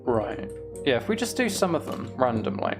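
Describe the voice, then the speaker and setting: Gravelly-voiced man chatting on a livestream, music underneath. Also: mid-range voice, very animated, moderate pace. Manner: musing aloud, reads and answers viewer comments. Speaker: live streamer. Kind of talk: casual gaming livestream chat.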